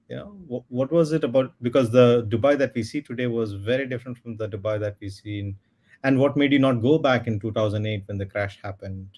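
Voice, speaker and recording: A man's voice: mid-range voice, darkish tone. A man hosting an interview podcast, asking questions in a friendly, slightly hesitant way. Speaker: podcast host.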